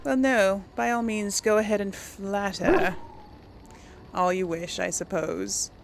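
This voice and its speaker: A woman's voice: medium pitch, fairly even intonation, slow, creaky, heavy background noise. A woman doing casual let's play commentary, musing aloud and hesitant as she decides things. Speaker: gaming video host.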